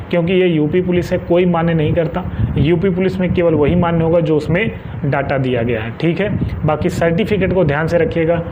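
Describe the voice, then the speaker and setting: A man from northern India giving informal advice to viewers as an exam guidance presenter, insistently repeating key points for emphasis. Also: on the high side. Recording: muffled lo-fi with faint background noise.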